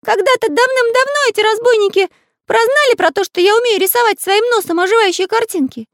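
Lady doing a little cartoony pencil guy, super high pitched, earnest and kind, kids story. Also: fairly quick, no echo. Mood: worried recognition. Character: living pencil artist, male, small, earnest, kindly. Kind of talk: children's storybook character acting.

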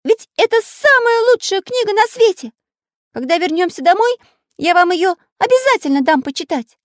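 A woman's voice: very high-pitched, very animated, moderate pace, no room sound, studio quality, voice pushed up. A woman voicing a small, earnest, kindly living pencil who is an artist, in a children's storybook. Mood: indignant, enthusiastic. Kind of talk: children's storybook character acting.

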